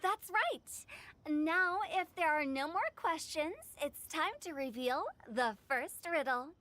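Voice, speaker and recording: A playful young woman running a contest in an anime game. Her voice is extremely high and unhurried, with cheeky, showy, drawn-out phrasing. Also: expressive, sharp tone, full character voice. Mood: cheerful, announcing.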